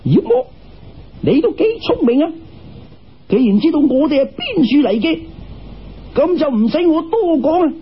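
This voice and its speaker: 一个男人用粤语口音扮演顽皮大胆嘅年轻道门徒弟，讲嘢冲口而出，录音发闷。. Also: male voice, extremely high pitch, big pitch swings, moderate pace, long pauses, pitched above the performer's own voice, exaggerated voice. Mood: brash, defiant. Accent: Cantonese. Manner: cheeky, brash, challenging. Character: cheeky, bold young disciple of a Taoist master. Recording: dull, muffled radio-style recording.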